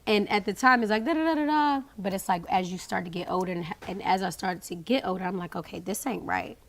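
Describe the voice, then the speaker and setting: A woman in her thirties chatting casually as a podcast guest, talking in an animated, slangy way. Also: medium pitch, fast. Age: 30s. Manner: animated, slangy, laughs loudly when amused. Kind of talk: casual chat.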